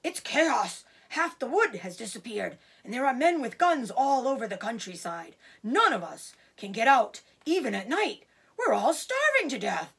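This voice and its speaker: A woman voicing a desperate, excitable male badger in a children's storybook. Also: a little high, moderate pace, vocal fry, slightly rough, pitched above the performer's own voice, exaggerated voice. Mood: agitated, alarmed.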